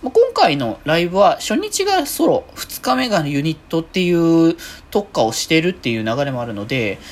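Woman w/ pretty low voice chatting solo on her podcast, rambly, pitch moves around a lot. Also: big pitch swings, normal speed. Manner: rambling, self-correcting. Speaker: podcast host. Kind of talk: casual solo free talk.

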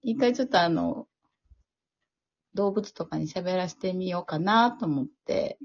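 A woman's voice: lowish voice, lively intonation, a little slow, husky. A woman with a Kansai accent talking casually about her writing in a group chat, on a dull recording. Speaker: amateur radio drama scriptwriter. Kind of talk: casual group after-talk.

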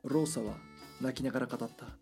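A man narrates a scripted true-crime audio drama. His voice sits in a middle register and he speaks in a serious, measured, reporting manner. Soft music plays underneath. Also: medium pitch, gravelly.

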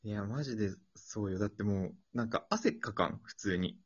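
A man's voice: fairly low pitch, fairly quick. A man hosting an improvised drama show, chatting casually and informally after a performance. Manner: colloquial, informal phrasing. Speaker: host of an improvised radio drama series. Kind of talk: casual post-show chat. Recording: dull lo-fi audio.

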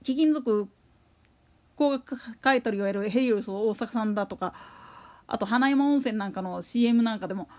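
High-pitched woman chatting solo. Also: moderate pace, darkish tone. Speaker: podcast host. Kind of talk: casual solo commentary on a radio announcer.

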